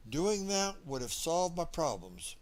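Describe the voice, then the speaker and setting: A man's voice: a little high, big pitch swings, a little slow, darkish tone. A man narrating a scripted first-person story in a folksy, dramatic storytelling manner. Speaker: audiobook narrator.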